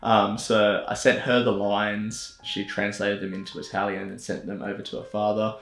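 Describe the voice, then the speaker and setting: A young Australian man talks casually and self-deprecatingly, often laughing. His voice is low and gravelly. It sounds roomy, with light music underneath. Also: level tone, moderate pace, a bit bright. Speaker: filmmaker. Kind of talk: casual vlog narration. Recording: echoey room with music under it.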